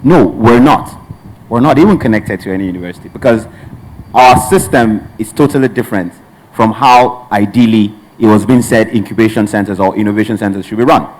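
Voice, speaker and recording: A man's voice: on the low side, brisk, slight background noise, rough recording. A man from Nigeria speaking as a panelist at a tech conference, talking earnestly and at length with frequent restarts. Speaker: panelist, hub representative.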